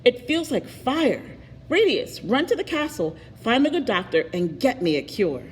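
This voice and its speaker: A woman's voice: fairly high pitch, big pitch swings, moderate pace, long reverb tail, a little hiss or hum, voice pushed up. A woman voicing a storybook knight and father who wails in pain and gives urgent orders. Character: stricken knight father, wailing in bellyache.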